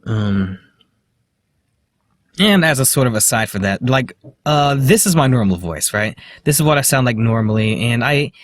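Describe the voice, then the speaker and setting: A man with a slight lisp, talking to the camera in a halting, hesitant way, full of fillers and restarts. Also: mid-range voice, animated, no echo. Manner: quiet, self-deprecating. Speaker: vlogger. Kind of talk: casual self-recorded monologue.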